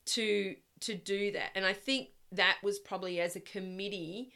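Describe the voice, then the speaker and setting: A middle-aged Australian woman chatting casually as an interview guest, reminiscing in a loud, projecting, storytelling way. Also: medium pitch, moderate pace, creaky. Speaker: interview guest, swim club parent volunteer.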